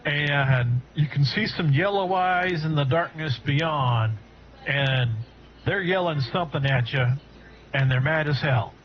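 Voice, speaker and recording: A man narrating a tabletop game as game master, halting, with many fillers and restarts. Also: on the high side, slight background noise. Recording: dull lo-fi audio.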